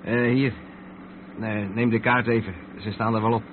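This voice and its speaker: A thin, narrow-band radio drama recording with clear vehicle noise carries a rather low male voice. An actor plays a steady truck driver, explaining things patiently to his companion. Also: moderate pace, lightly acted. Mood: patient, explanatory.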